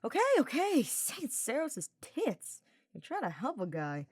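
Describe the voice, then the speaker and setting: Casting: woman playing an easygoing male fantasy knight, informal teaser, raised pitch, close dry sound. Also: wildly swooping pitch, unhurried, a little mellow, slightly rough, dry recording, exaggerated voice. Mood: exasperated concern. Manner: slangy, informal, needling. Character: teasing, exasperated, easygoing young male knight.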